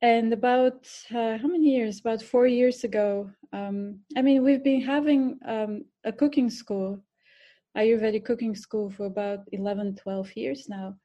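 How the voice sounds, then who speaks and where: Bulgarian accent lady on a podcast, kinda high mellow voice, earnest, lots of ums and restarts. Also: fairly even intonation, measured pace, lo-fi sound. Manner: earnest storytelling with fillers and restarts. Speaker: podcast guest, cooking teacher and restaurant owner. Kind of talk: podcast interview.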